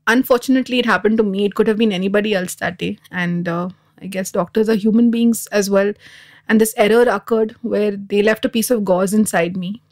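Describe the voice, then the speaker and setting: A woman in her thirties speaks as a podcast guest at a medium pitch. She tells her personal story in a candid and reflective manner, as in an interview. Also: a little mellow. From Dubai. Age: 30s.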